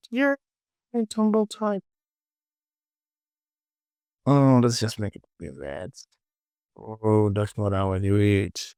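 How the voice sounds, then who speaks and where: A man giving a casual software tutorial, thinking aloud and muttering short clipped phrases to himself as he works. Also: fairly low pitch, vocal fry, dry recording, clean studio sound. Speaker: 3D modeling tutorial presenter.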